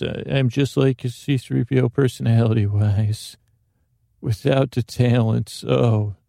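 Gravelly, mellow man rambling softly. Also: medium pitch, dry recording, studio quality. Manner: meandering and self-deprecating, with frequent restarts. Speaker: podcast host. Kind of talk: rambling sleep podcast monologue.

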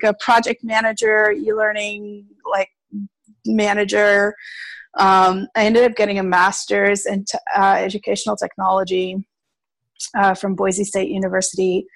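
A woman's voice: mid-range voice, no echo, low-quality recording. A woman in her thirties, originally from South Africa, chatting in an interview with plenty of ums and light laughs. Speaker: farmer and innkeeper. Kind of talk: casual interview storytelling.

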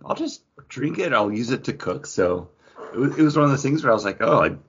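A man's voice: mid-range voice, sing-song, fast talker, a bit bright, husky. A man co-hosting a podcast in a jokey, rambling, casual chat with friends. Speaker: podcast co-host. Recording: dull lo-fi voice recording.

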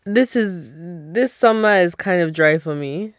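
A woman's voice: on the low side, animated, measured pace, no room sound, studio quality. A woman in her twenties co-hosting a podcast, chatting in an easygoing way with lots of fillers. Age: 20s. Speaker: podcast co-host. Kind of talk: casual chat.

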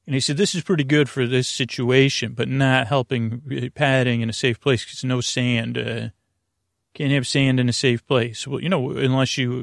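Male sleep-podcast host: gravelly, creaky, mid-pitched, halting and digressive, lulling bedtime delivery. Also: no room sound, clean studio sound.

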